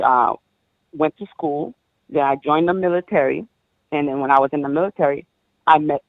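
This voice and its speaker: A woman of about forty, born in Haiti, calling in to a show and recounting her life in a halting way, full of ums and restarts. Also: low voice, dry recording. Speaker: caller. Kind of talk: personal story on a call-in show. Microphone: phone. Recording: dull dry phone line.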